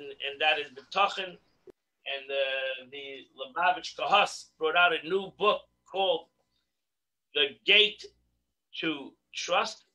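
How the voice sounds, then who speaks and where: A middle-aged man leads an online religious class from a home study, speaking into a webcam. His voice is bright and fairly high, and he repeats words as he presses a point. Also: poor audio. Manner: animated, repeats words when stressing a point. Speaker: rabbi teaching a daily class. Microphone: video call webcam.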